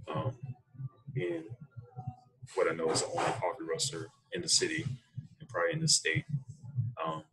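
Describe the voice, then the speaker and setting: Very deep, gravelly American man. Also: very animated, very noisy. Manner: halting, thoughtful, unhurried phrasing. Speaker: interview guest, coffee roaster. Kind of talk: reflective casual interview talk. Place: small room indoors, video call. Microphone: computer mic on a video call.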